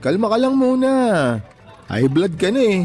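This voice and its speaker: Lively, friendly buddy. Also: male voice, high-pitched, moderate pace. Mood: concerned, soothing. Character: calming, friendly male buddy of a tricycle driver. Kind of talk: Tagalog radio drama acting.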